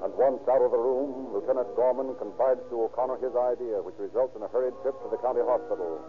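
A man giving formal, measured, portentous scripted narration in the manner of 1930s radio drama. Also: mid-range voice, steady tone. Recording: tinny old radio recording with music.

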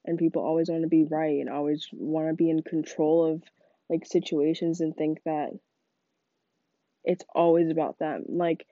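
A woman's voice: deep voice, little pitch movement, normal speed, dark tone, dry recording, dull audio. A young woman with a stuffy, congested voice, talking in a rambling casual monologue full of "like" fillers. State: congested from a cold. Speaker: podcast host.

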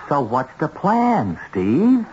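An exasperated, uneasy husband mixed up in crime in an old radio play. His pitch jumps around as he speaks in a harried, insistent way. The broadcast sound is dull. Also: wildly swooping pitch, slow pace, mellow, a little hiss or hum, full character voice. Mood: uneasy, wary. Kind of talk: 1970s radio drama acting.

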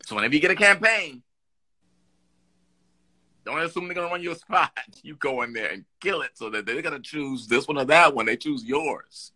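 Bright-voiced man telling stories. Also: a little high, moderate pace, rough recording. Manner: animated, lively storyteller with restarts. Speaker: guest actor. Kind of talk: storytelling in an interview.

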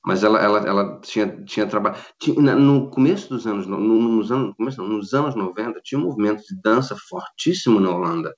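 A man's voice: on the low side, quick pace, few pauses. A Brazilian man in his fifties with a Rio accent, chatting as a guest in a casual interview over a video call, rambling with many restarts and laughs. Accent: Rio de Janeiro Brazilian Portuguese. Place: at home in Australia. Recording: dull lo-fi video call audio.

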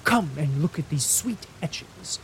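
Fussy invalid gentleman, high and whining, petulant and affected, put on by a male narrator. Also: expressive, unhurried, slight background noise, low-quality recording. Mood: fretful.

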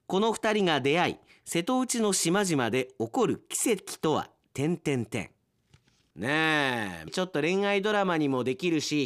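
A man's voice: fairly high pitch, a bit bright. A man hosting chatty radio after-show talk, reading out submissions with playful asides. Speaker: radio host and announcer.

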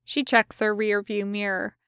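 A woman reading scripted fiction as narrator, with a measured, wry storytelling manner. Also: mid-range voice, little pitch movement, fairly bright tone, smooth, dry recording, studio quality. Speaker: podfic reader.

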